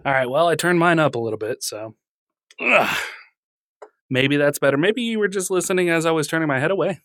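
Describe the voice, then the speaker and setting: A man's voice: fairly high pitch, lively intonation, fairly quick, fairly bright tone, no room sound. Joking man co-hosting a podcast, dry mic. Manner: joking, laughs often, teasing. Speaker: podcast co-host. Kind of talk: casual chat. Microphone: studio mic through a mixer.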